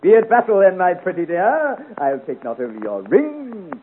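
An actor playing a mocking, swaggering captain of cutthroats with florid, sneering speech, in a 1950 radio serial. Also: male voice, high voice, big pitch swings, moderate pace. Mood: amused, predatory. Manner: florid, sneering, theatrical speech with mock gallantry. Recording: tinny, hissy old 1950 radio recording.

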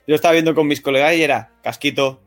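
A man's voice: on the high side, quick pace. Podcast guy, mock outrage, sarcastic, faint music under it. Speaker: podcast co-host. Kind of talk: casual chat.

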